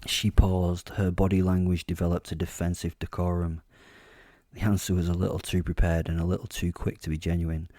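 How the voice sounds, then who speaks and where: A man narrates a dark audio drama in a very low register. His voice is soft-edged and mellow, recorded close and dry, with a measured storytelling delivery. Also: level tone, no echo. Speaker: narrator and voice actor. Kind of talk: first-person audio drama narration, horror fiction.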